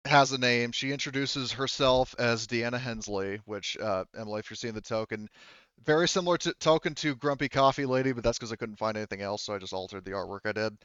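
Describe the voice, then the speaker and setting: A man running a tabletop game as game master, improvising narration and explanations in a halting, wordy way. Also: mid-range voice, a bit bright, smooth, no room sound, lo-fi sound.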